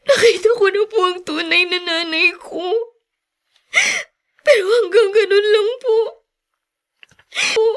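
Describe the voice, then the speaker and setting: An actress playing a sorrowful, apologetic young daughter in a radio drama, speaking in a tearful, pleading way. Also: female voice, extremely high pitch, a little slow, long pauses. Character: sorrowful, apologetic young daughter longing for her birth mother. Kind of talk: Tagalog radio drama acting.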